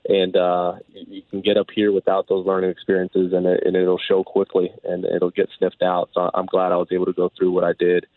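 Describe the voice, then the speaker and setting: Deep flat-voiced guy in his 30s calling into radio, earnest, tinny phone sound. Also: brisk, hardly any pauses, no room sound. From United States, Dominican background. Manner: earnest, many fillers and restarts. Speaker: baseball manager, guest.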